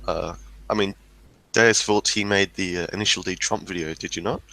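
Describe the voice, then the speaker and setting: A man's voice: sits low, steady tone, normal speed, creaky, sharp tone. A man hosting a casual livestream chat, rambling with lots of fillers and restarts. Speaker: stream host.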